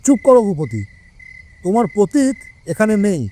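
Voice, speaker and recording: A man's voice: high-pitched, sing-song, unhurried, warm tone. A man playing a stern, exasperated superior police officer in an audio drama, commanding with irritation.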